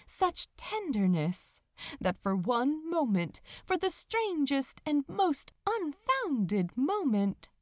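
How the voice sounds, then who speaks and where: A woman narrating fan fiction as a dramatic audiobook, with deliberate phrasing. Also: high voice, a little mellow, no echo, clean studio sound.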